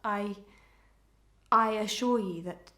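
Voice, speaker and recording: A woman playing an anguished, proud and dutiful wife in a Victorian melodrama, with formal archaic diction and fervent, deliberate delivery. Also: medium pitch, pushed and bright, echoey room. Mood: formal, strained. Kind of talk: old-fashioned melodramatic stage acting.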